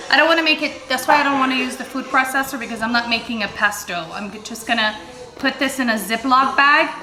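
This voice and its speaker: A woman from the Dominican Republic hosting a casual cooking vlog in her home kitchen, chatting playfully with viewers and the kids around her. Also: a little high. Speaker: cooking channel host. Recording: echoey kitchen with music playing.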